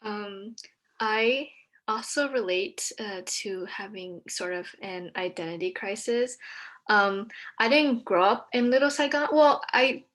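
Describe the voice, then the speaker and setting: A young woman from Texas whose first language is Vietnamese, speaking hesitantly with many pauses as a guest in a casual conversation. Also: medium pitch. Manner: hesitant, many ums, soft-spoken.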